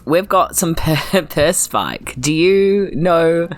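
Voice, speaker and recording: A woman's voice: low-pitched, lively intonation, slow pace. A woman with a New Zealand accent improvising playfully and casually as a player in a tabletop game. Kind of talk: casual in-character improv chat.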